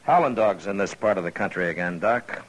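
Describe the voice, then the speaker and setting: A man playing a steady, authoritative frontier marshal in a 1950s radio drama, speaking in a measured, dry, laconic way. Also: low voice. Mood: easygoing, wry. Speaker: lead actor in a western radio drama. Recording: noisy vintage 1950s radio recording.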